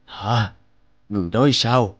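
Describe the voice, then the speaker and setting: Slow, solemn elderly cartoon king. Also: on the low side, no echo, highs cut off. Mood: moved, commanding. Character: elderly ailing king, doting and trusting father. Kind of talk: animated fairy-tale dubbing.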